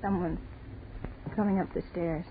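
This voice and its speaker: An actress playing a terrified, pleading young woman in 1930s radio horror, breathless and halting. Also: lowish voice, fairly even intonation, lightly acted. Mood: hushed fear. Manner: breathless, halting, repeating herself in dread. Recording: noisy, tinny 1930s radio recording.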